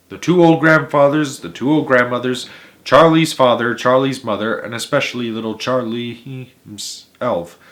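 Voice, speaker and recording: A man narrating a children's storybook aloud in a theatrical, emphatic way, with dramatic pauses and stretched words. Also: mid-range voice, a bit bright. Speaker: host of a read-aloud story series.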